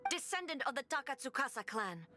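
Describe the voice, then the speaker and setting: Cold imperious ruler. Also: female voice, high-pitched, big pitch swings, a little fast. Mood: stern. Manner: curt, imperious. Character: stern regal female ruler, cold and commanding. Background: game music.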